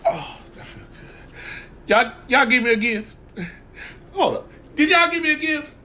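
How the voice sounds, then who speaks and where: A man's voice: measured pace, pushed and bright. A man doing comedic voiceovers for pets, talking in a goofy, slangy, exaggerated way. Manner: goofy, exaggerated, wildly swinging pitch, slangy drawl. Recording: voiceover mixed with music.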